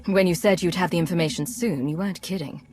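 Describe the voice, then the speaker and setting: Actress as a low-voiced, composed female commander. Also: fast talker, music in the background, lightly acted. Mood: decisive. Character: composed, assured female intelligence agent turned commander. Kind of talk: video game voice acting.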